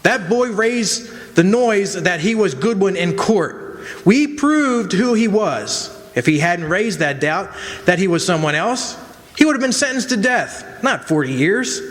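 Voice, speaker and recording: An unbending male prosecutor played by an actor argues in a high voice. He is emphatic and dismissive, firing off rhetorical questions. The old-style radio theatre delivery echoes in a hall. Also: animated, unhurried. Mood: insistent, dismissive. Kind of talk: old-time radio drama acting. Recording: echoey hall stage recording.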